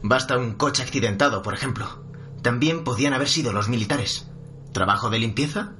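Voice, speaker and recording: A man's voice: medium pitch, brisk, sharp tone, a little hiss or hum, close to an everyday voice. An actor playing a cool, knowing male witness in a radio drama. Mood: knowing, detached.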